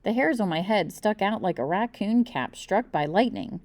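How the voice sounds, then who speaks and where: A woman's voice: medium pitch, moderate pace, no echo. A woman reading a children's book aloud as storyteller, expressively narrating in the first person and acting out the dialogue. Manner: animated, acts out the dialogue.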